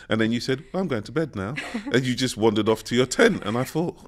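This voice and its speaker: A man's voice: medium pitch, moderate pace. A British man co-hosting a podcast in a studio, telling travel stories in casual chat and acting out quoted lines. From Britain. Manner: animated storytelling, acts out quoted lines. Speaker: podcast co-host.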